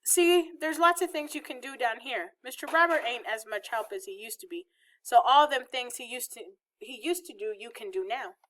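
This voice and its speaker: Lady doing a feisty old southern grandma for a storybook, way up high, scolding but loving. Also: normal speed, close to an everyday voice, low-quality recording. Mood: tender, approving. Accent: Southern American. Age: elderly. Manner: folksy, scolding, loudly exclaiming. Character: feisty, bossy, affectionate old Southern grandmother, small and frail.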